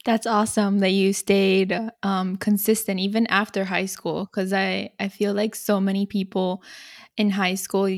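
A woman's voice: mid-range voice, flat delivery, unhurried. A woman co-hosts a casual podcast, her voice in a middle range. She reacts with short comments and asks easy follow-up questions. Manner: laughs along, reacts briefly. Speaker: podcast co-host. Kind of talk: casual chat.

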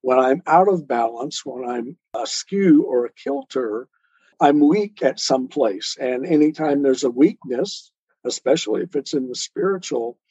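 A man speaking as an interview guest, explaining his ideas in a measured, earnest way and repeating phrases for emphasis. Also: medium pitch, lively intonation, dark tone, slightly rough, dry recording, highs cut off. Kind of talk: earnest explanatory interview talk on self-help and faith.

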